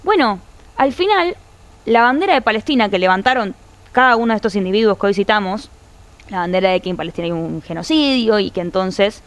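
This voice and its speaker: A woman's voice: medium pitch, animated, fairly quick. A woman with an Argentine accent arguing her point at length as a panelist on a political talk show. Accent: Argentine Spanish. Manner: fluent, argumentative, long sentences.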